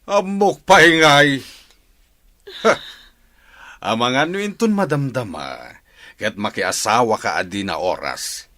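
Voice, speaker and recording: A man with an Ilocano Filipino accent playing a harsh, scolding father in a radio drama, gruff and berating. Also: medium pitch, lively intonation, sharp tone, no echo. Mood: angry scolding. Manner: gruff, berating, drawn-out exclamations. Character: stern, harsh, scolding father of a young woman.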